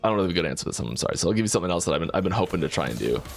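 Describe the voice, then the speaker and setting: A man's voice: low-pitched, lively intonation, rapid-fire, creaky. A man who works as a game developer talks casually on a stream, rambling with many restarts. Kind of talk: casual dev stream talk.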